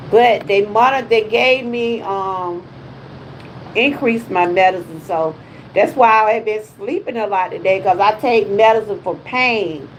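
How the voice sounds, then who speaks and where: A woman's voice: mid-range voice, a little hiss or hum, rough recording. A woman in her fifties with a Southern US accent, chatting casually on her wellness livestream with folksy exclamations. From Georgia, USA. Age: 50s. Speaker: wellness vlogger.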